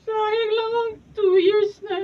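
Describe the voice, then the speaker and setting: An actress playing a bitter, materialistic young escort in a Filipino radio drama. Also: female voice, extremely high pitch, steady tone, slow. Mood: drunken, dejected. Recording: dull, distant room recording, faint noise.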